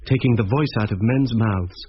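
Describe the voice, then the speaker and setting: A man's voice: on the low side, normal speed, natural voice. A man playing a literate schoolteacher in a British radio drama, speaking. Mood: reflective. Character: reflective male schoolteacher telling his story. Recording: muffled old radio recording.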